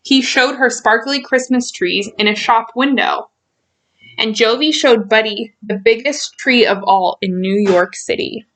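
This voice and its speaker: A woman reading a children's storybook aloud in an expressive way, stretching out key words for emphasis. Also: on the high side, unhurried, low-quality recording.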